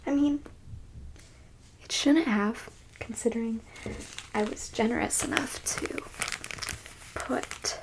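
A woman playing a coolly clinical, smug and curious alien abductor in an ASMR roleplay, in a soft breathy whisper with a coyly condescending manner. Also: on the high side, takes it slowly, long pauses, background noise. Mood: clinical, focused.

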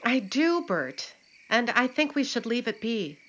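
A woman's voice: fairly high pitch, big pitch swings, a little slow, a bit bright, exaggerated voice. A woman playing a cautious, caring small-town woman in a radio play. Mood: wary. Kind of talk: radio drama acting.

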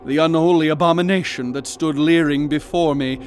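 An actor playing a haunted man who narrates his own horror in slow, ornate, dread-laden words. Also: fairly high pitch, fairly even intonation, close to an everyday voice.

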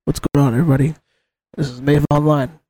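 A podcast co-host putting on a low, close-mic late-night streamer persona. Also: level tone, quick pace, warm tone, slightly rough, no echo, natural voice. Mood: sultry. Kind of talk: podcast banter.

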